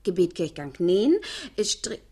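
A woman's voice: fairly low pitch, expressive, a little slow, warm tone, slightly rough. A woman acting a pert nineteen-year-old courtesan who affects demure obedience, speaking politely and coyly in a German radio play. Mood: pious recitation. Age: young adult.

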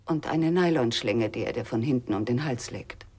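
An actress playing a guarded, cool, melancholy femme fatale in a noir radio drama, hushed and terse, with a breathy voice. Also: female voice, a bass voice, moderate pace. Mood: wry, ominous.

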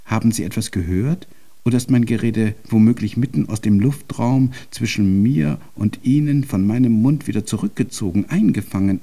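An actor playing a deaf, cantankerous old composer in a German radio drama, speaking in an ornate, pompous and convoluted way. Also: male voice, lowish voice, warm tone.

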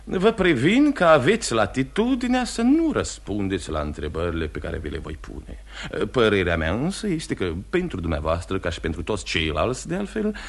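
An actor playing a formal, courteous but pressing investigating magistrate in a radio drama, often repeating his phrases haltingly. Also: lowish voice, very animated, creaky, full character voice. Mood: courteous, soothing. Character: smooth, insistent male investigating magistrate, courteous yet pressing. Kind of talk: mid-century radio theatre acting.

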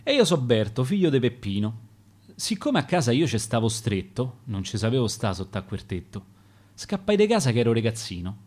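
A man's voice: medium pitch, big pitch swings, fairly quick, a little mellow. A man with a Roman accent voicing a dead son, a former petty thief, confessing in rhymed dialect verse. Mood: confessional.

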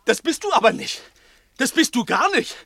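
A man's voice: very high voice, very animated, moderate pace, gravelly, bright, forceful tone, no room sound, studio quality, full character voice. An actor playing a nervous, resentful man in a German radio crime drama, agitated and insistent, repeating himself. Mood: furious, scornful. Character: nervous, resentful man, bullied underling turned armed accuser.

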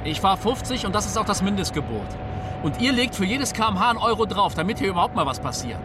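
An actor playing a shrewd, sardonic young driver who haggles stubbornly in slang in a radio drama. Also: male voice, high-pitched, sing-song, fast, far from the mic, noisy background. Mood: haggling, defiant. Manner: slangy, sarcastic, bargaining. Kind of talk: naturalistic radio drama dialogue. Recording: inside a car, off-mic, music behind.

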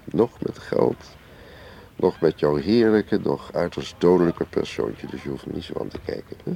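An actor playing a suave, cagey foreign spy in a radio play, teasing and unhurried, with an ironic way of talking. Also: male voice, sits low, animated, dark tone. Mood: cool, evasive. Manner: ironic, leisurely, ends remarks with questioning tags. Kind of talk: radio drama acting. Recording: clearly hissy old radio play recording.

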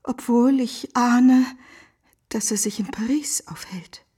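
An actress playing an anguished, pious, middle-aged abandoned wife of noble rank in a radio play, formal and pleading, with a breathy voice. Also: female voice, fairly high pitch, slow, mellow. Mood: desperate, pleading. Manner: formal, pleading, emotionally fraught. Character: anguished, pious abandoned baroness, earnest and dignified. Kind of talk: German radio play acting.

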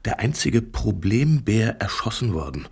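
An anxious man in a radio drama, argumentative and dry. His voice is low, gravelly and very husky. He speaks in short, hushed, urgent phrases. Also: big pitch swings, a little slow, heavily acted. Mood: dry, dismissive. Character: hiker, a man, anxious and bickering, dryly argumentative. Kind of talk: German-language radio play dialogue.

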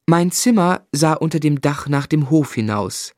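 An actor as a grown man recalling his childhood, in measured literary radio play narration. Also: fairly high pitch, lively intonation, a little mellow, no room sound, studio quality. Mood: wry.

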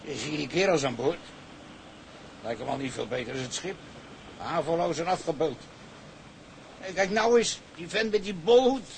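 Actor as creaky, high-voiced plain-spoken sailor friend. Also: male voice, moderate pace, pauses often, a little mellow, a little hiss or hum, natural voice, poor audio. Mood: disgusted. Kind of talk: radio drama acting.

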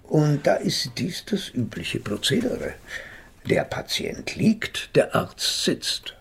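Radio drama role: smug older male analyst, wordy and formal, swooping intonation with a gravelly edge. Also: on the high side, huge pitch swings, slow pace, fairly bright tone, exaggerated voice. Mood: soothing, professional. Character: pompous older male psychoanalyst, smug and unflappable. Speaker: narrator and psychotherapist. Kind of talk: German radio drama acting, literary narration.

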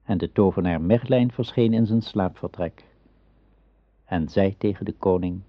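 A man narrating a radio play as a storyteller, in a measured storybook manner. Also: low voice, dry recording. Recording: slightly dull radio play recording.

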